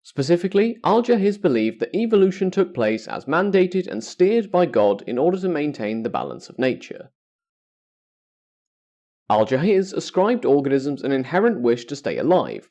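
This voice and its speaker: A man presents scripted educational material as a podcast host, at a middling pitch. His intonation rises and falls in a lively way, while the wording stays formal and carefully structured. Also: highs cut off.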